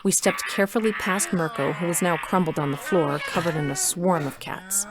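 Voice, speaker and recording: A woman's voice: lowish voice, moderate pace. A woman reading scripted story narration for an audio drama. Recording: noisy ambient sound effects.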